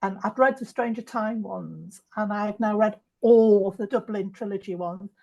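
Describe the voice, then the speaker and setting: A woman's voice: mid-range voice, moderate pace, creaky, warm tone, highs cut off. A middle-aged woman chatting casually as a reader on a book club video call. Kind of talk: casual chat.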